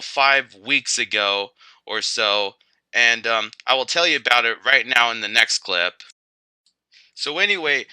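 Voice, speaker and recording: A chatty teddy bear acted out by a man tells casual stories in a very bright, forceful voice. The delivery is clear and smooth, wandering and hesitant, full of filler words, in a close, dry recording. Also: mid-range voice, no room sound. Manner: rambling, hesitant, filler-heavy storytelling. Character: chatty male teddy bear who was once a grizzly. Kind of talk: casual first-person storytelling.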